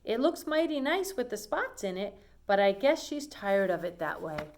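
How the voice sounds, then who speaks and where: A woman's voice: medium pitch, sing-song, moderate pace, a little hiss or hum. A woman voicing a cheerful, literal-minded housemaid in a children's storybook, with a folksy, matter-of-fact manner. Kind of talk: children's storybook character reading.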